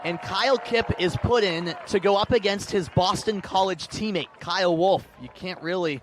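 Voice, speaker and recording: A man's voice: high-pitched, moderate pace. An American man doing live radio play-by-play, calling the action in detailed, punchy phrases. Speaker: play-by-play sports announcer. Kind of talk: live radio sports commentary.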